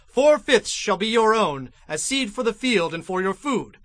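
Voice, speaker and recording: An actor playing an assured governor of ancient Egypt in a dramatized scripture reading, declamatory and authoritative. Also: male voice, high voice, lively intonation, a little slow, fairly bright tone, dry recording. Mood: commanding. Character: commanding, assured governor of Egypt, a dutiful son.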